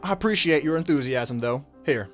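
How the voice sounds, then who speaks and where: Man playing a composed, higher-voiced detective. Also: animated, a bit bright, soft background music. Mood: reassuring. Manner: measured, formal. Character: composed, courteous male detective in charge. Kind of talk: radio drama acting.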